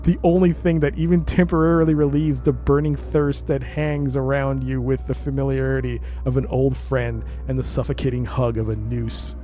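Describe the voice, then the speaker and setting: A man's voice: mid-range voice, little pitch movement, normal speed, few pauses, warm tone, soft background music. A man streaming a game, reading its narration aloud and adding casual commentary.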